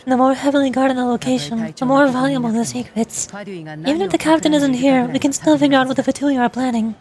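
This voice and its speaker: Earnest stubborn young tribe girl, streamer lady reading her lines, high but a bit softer. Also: brisk, crowd noise, natural voice. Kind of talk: fan reading of game dialogue.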